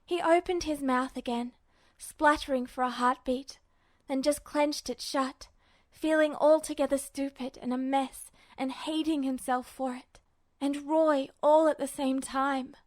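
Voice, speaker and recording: Woman reading a story out loud, high voice, unhurried, emotive, very dry close sound. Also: steady tone, no room sound. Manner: dramatic, emotive. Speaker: podfic reader. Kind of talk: expressive fiction narration.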